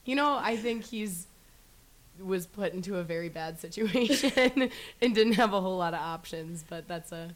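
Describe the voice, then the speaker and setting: A young woman from Wisconsin, hoarse with a deep, raspy voice, speaking as a radio interview guest in a wry, laughing manner. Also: normal speed. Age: young adult. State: hoarse, lost voice. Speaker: guest, student newspaper editor.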